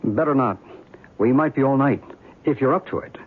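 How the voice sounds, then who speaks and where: An actor playing a calm, knowledgeable guide to ancient mysteries, speaking in a measured, explanatory way in a radio drama. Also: male voice, mid-range voice, breathy edge, natural voice. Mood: quietly guiding. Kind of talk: old-time radio drama acting. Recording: tinny, hissy band-limited radio recording.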